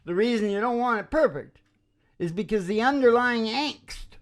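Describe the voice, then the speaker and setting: A woman's voice: on the high side, expressive, normal speed. A woman hosting a show with casual, rambling banter, philosophizing and joking in a colloquial way. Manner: philosophizing, jokey, colloquial with swearing. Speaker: radio show host and musician.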